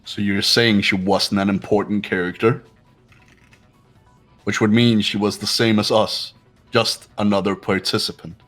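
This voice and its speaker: A man playing a proud, analytical high school student in a fan-dubbed video game, speaking in a haughty, deliberate way. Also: fairly even intonation, fairly bright tone. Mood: cold, challenging. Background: game music.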